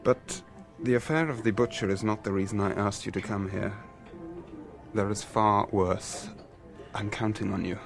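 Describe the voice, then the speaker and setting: A man's voice: on the low side, fairly even intonation, normal speed, vocal fry, soft background music. A man playing a fussy, aggrieved restaurant owner in a radio drama, fretful and exasperated. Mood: persuasive.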